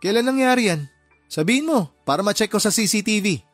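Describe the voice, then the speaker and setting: An actor playing a firm, fair-minded uncle and boss in a radio drama, lecturing in a stern, reasoning way. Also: male voice, high-pitched, animated, fast talker, dry recording. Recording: music under the voice.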